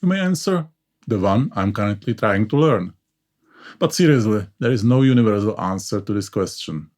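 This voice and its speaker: A man's voice: on the low side, lively intonation, normal speed, a little mellow. Man narrating. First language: Czech. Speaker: educational channel host. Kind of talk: scripted explainer narration.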